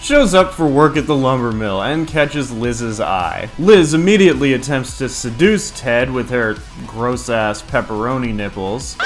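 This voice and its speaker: A man in his thirties narrating a scripted countdown review in a crude, profane, opinionated way. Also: mid-range voice. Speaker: video host and reviewer.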